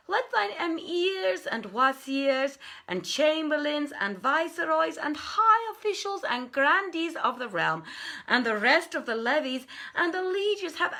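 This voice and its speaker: A woman voicing a wise male wazir who gravely counsels his king in formal, emphatic, declamatory storybook language. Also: sits high, pushed and bright, pitched above the performer's own voice, full character voice. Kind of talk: storybook reading of an old tale.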